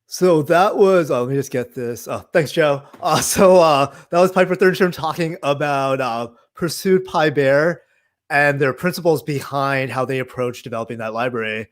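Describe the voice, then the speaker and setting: A man's voice: medium pitch, normal speed. A man hosting a programming meetup livestream over a video call, informal and friendly with lots of "uh" and "like". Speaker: host of a Python meetup livestream. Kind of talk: casual livestream interview hosting.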